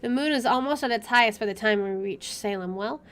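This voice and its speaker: A woman with a slightly high voice plays a visual novel for viewers. She reads the story aloud expressively and has an opinionated, casual streak. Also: brisk, fairly bright tone. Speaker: gamer playing and reacting to a visual novel. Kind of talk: reading game narration aloud with casual commentary.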